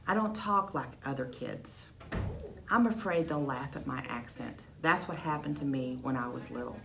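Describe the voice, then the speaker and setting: Storybook schoolgirl, read by a woman in a low voice: gentle, shy, earnest. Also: moderate pace, creaky, noisy background.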